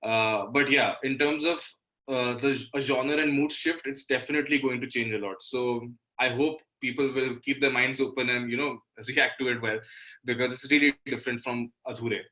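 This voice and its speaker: Male interview guest, Indian accent, bright tone, mid-pitched, conversational and informal. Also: steady tone, normal speed, rough recording. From Delhi, India. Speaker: guest, indie musician. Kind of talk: casual interview talk.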